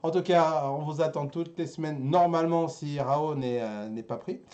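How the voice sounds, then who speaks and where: A man's voice: on the high side, normal speed, a little mellow, muffled recording. A man hosting a casual, bantering geek culture show, often repeating himself and restarting phrases in a jokey way. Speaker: host of an anime and geek culture live show.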